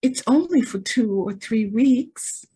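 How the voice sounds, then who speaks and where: A woman's voice: a little high, expressive, takes it slowly, mellow, slightly rough, heavily acted, poor audio. A woman playing a spoiled, naively callous young heiress in an old-time radio style drama, girlish and breezy in manner. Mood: breezily callous. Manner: breezy, girlish, petulant when crossed.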